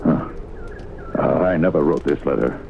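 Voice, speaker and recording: An actor playing a shrewd, suspicious small-town mayor with a folksy, challenging drawl in an old radio drama. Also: male voice, rumbling low, normal speed, vocal fry, warm tone. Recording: tinny, noisy old radio broadcast.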